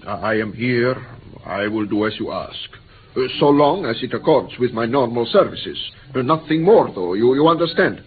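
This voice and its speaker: An actor playing a formal, earnest and excitable male interpreter in an old British radio play. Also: medium pitch, normal speed, natural voice. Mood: alarmed, indignant. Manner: formal, earnest, flustered when alarmed. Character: courteous, excitable Greek male interpreter, easily indignant. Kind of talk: mid-20th-century British radio play acting. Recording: tinny, slightly noisy old radio recording.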